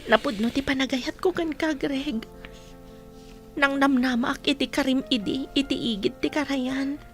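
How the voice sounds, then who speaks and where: A woman's voice: sits high, normal speed, faint music behind, lightly acted. A woman with a Filipino accent playing a devoted, long-waiting young sweetheart in an audio drama. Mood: tender, devoted.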